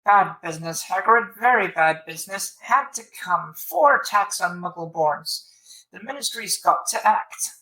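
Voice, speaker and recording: A woman's voice: lowish voice, expressive, measured pace, bright, forceful tone, exaggerated voice. Woman acting an echoey, officious male minister. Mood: uneasy, official. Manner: clipped, officious, uneasy. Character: flustered, officious government minister, portly man. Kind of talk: fan audio drama acting. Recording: roomy, echoing voice recording.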